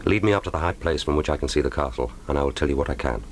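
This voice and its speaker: An actor playing a resolute, wry British secret agent in a radio drama, speaking in a dry, understated British accent. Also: male voice, extremely low pitch, rapid-fire, background noise. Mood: earnest. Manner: dry, understated, musing aloud. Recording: dramatic music bed under voice. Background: loud orchestral film score.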